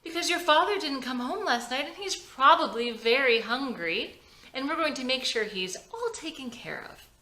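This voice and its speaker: A woman's voice: high voice, animated, moderate pace, sharp tone, reverberant, pitched above the performer's own voice. A woman playing an impatient young mother with forced cheer in a family drama script. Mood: firm, coaxing.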